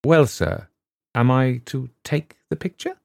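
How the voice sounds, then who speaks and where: A man voicing a polite, persistent young gentleman who speaks persuasively with slight hesitations in a storybook reading. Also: mid-range voice, vocal fry, dry recording, pitched above the performer's own voice. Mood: calm. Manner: courteous, persuasive, slightly halting. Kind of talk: audiobook reading.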